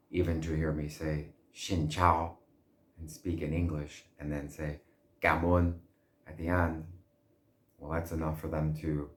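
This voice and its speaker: A man talking to the camera in a slow, deliberate way, with pauses and soft laughs. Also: very deep voice. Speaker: language learner vlogger. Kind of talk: casual monologue. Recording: roomy with faint background hum.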